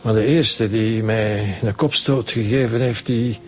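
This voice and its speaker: A man's voice: fairly low pitch, fairly even intonation, measured pace, faint music behind, lightly acted. An actor in a radio drama playing an injured male business owner, weak and in pain in hospital. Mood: pained, weary.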